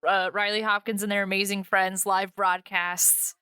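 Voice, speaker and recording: A woman with a bright, sharp-edged voice, recorded dry with no room sound. She hosts casually, talking in a playful, slangy way and swearing freely. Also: mid-range voice, flat delivery, normal speed, clean tone, dry recording. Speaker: podcast host. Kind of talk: casual, slangy podcast chat.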